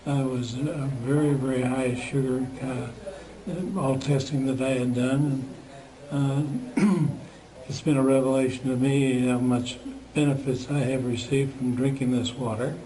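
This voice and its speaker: An elderly man in his eighties speaks haltingly, pausing often and filling gaps with hesitations. His voice is mellow and warm at a middle pitch. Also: fairly even intonation, poor audio. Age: 80s. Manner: halting, many pauses and fillers, clears his throat. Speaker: customer giving a testimonial. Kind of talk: unscripted spoken testimonial.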